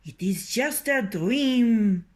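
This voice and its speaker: A woman voicing a proud, bossy male rooster in a storybook reading, loud and scornful. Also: fairly high pitch, animated, extremely slow, pitched above the performer's own voice. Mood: pompous.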